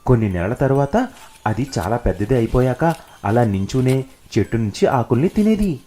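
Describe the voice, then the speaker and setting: A man's voice: medium pitch, lively intonation, moderate pace, warm tone, a little hiss or hum. A man narrating a scripted children's story. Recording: music behind the narration.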